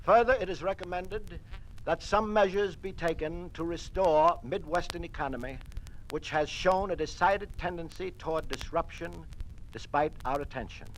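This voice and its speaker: A man's voice: on the high side, normal speed, lightly acted. An actor with an American accent playing an earnest, dutiful male communist conspirator in an old-time radio drama. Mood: formal, official. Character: uneasy male communist conspirator, later a dutiful party official. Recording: noisy vintage radio recording.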